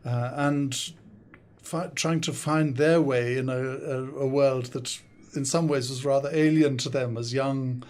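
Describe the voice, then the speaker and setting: Measured older man with an English accent. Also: mid-range voice, fairly even intonation, darkish tone. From South Africa, raised in the UK. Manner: thoughtful, measured, with frequent hesitations. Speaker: guest, consultant and coach. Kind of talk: podcast interview. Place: studio.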